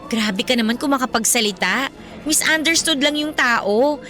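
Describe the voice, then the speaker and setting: A woman's voice: high voice, moderate pace, bright, forceful tone. A woman acting a defiant, outspoken young girlfriend in a radio drama, with a Filipino accent and an assertive, emphatic delivery. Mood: defensive. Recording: music bed behind the voice.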